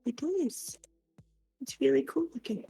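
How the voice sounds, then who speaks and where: A woman's voice: sits high, expressive, a little slow, creaky, mellow, faint music behind. A woman co-hosting a stream in casual chat, affectionate and encouraging as she talks. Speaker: stream co-host helping with the chat.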